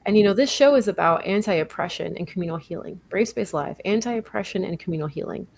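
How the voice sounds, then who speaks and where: A woman's voice: fairly low pitch, expressive, quick pace, gravelly, darkish tone, a little hiss or hum. A woman hosting a live online talk show, earnest and explanatory, introducing her co-host and guest over a video call. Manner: earnest, explanatory, with some fillers and self-corrections.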